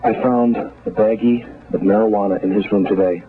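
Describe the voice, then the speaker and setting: A man's voice: lowish voice, fairly even intonation, brisk, a little hiss or hum. A man phoning in to a radio call-in show, heard over a phone line. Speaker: radio call-in prank caller.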